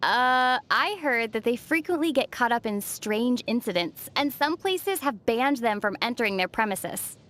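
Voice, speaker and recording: An actress playing a calm, sensible, gently teasing young traveler heroine in a video game. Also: female voice, fairly high pitch, normal speed, few pauses. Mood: skeptical. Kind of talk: scripted video game dialogue.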